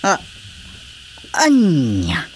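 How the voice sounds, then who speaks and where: A man's voice: a little high, huge pitch swings, very slow, a little hiss or hum, full character voice. A man acting a deferential, nosy village watchman in an Ilocano radio comedy drama, with an Ilocano Filipino accent. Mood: probing, surprised.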